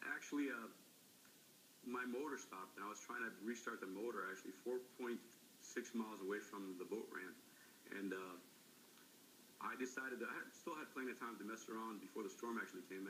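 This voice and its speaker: A man in his fifties with a raspy voice answers questions on a live TV link. His voice sits lowish but comes across bright and forceful. He talks haltingly, filling gaps with "uh" and "um", over a light hiss. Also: poor audio. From Florida, United States. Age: 50s. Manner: halting, many fillers. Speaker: interview guest. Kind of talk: live TV interview.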